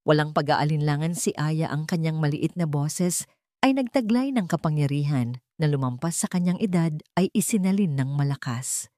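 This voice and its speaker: A woman's voice: deep voice, moderate pace, dark tone, no room sound, studio quality. A woman with a Filipino accent reading a scripted dramatic story as an audio narrator.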